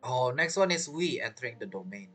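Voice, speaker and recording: Lively male game streamer. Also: mid-range voice, a little fast. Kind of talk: casual game commentary. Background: game music.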